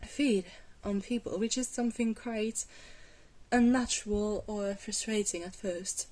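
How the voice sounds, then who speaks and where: A woman playing a glamorous vampire mentor in an ASMR roleplay, patient, reassuring and gently wry, with a soft, hesitant delivery. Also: medium pitch, fairly even intonation, unhurried, lightly acted. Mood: wry, explaining.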